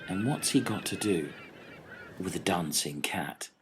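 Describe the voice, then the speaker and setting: A man playing a theatrical, wistful castaway in a comic radio play, musing grandly with drawn-out dramatic pauses. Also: sits low, sing-song, noisy background.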